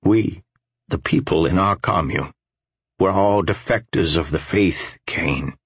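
A male narrator plays an older man cast out of his faith, in an audiobook drama. The voice is very deep and husky, grave and deliberate, with a measured solemnity. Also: no echo, exaggerated voice. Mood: solemn, restrained anger.

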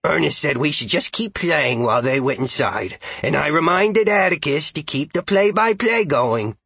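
Man voicing an excitable, bright, raspy talking dog. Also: fairly high pitch, normal speed, no room sound, full character voice. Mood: excited, playful. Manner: chatty, goofy, exuberant. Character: excitable, playful male dog narrating his adventures. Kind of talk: first-person audiobook narration.

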